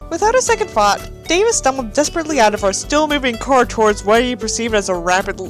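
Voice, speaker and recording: A woman's voice: fairly high pitch, quick pace, faint music behind. A woman reading a book aloud in a mocking, dramatic way, with incredulous, sweary asides. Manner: dramatic, incredulous, expressive reactions. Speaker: reader and commentator of a badly written book.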